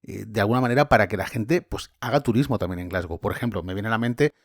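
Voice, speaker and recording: A man's voice: lowish voice, fast talker, no room sound, studio quality. A man hosting a travel chat, a tour guide who talks casually and puts questions to his guest. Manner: chatty, asks guest questions. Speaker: podcast host and tour guide.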